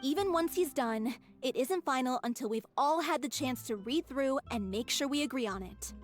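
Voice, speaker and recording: A brisk, practical young woman with a high, clear voice. She sounds businesslike, with faint music behind her. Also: expressive. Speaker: game character. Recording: game cutscene audio with faint music.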